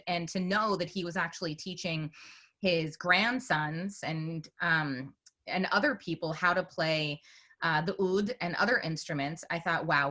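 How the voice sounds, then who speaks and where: Woman from northern california on a video call, lowish bright voice, rambling with lots of "you know". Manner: chatty, storytelling, many "you know"s. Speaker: documentary filmmaker. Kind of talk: conversational panel answer.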